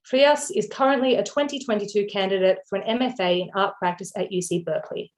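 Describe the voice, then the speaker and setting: A woman from Australia hosting an online lecture, reading prepared welcome remarks and a land acknowledgement in a steady, formal manner. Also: medium pitch, quick pace, poor audio. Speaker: event host. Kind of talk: scripted event welcome and introduction. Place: online video event.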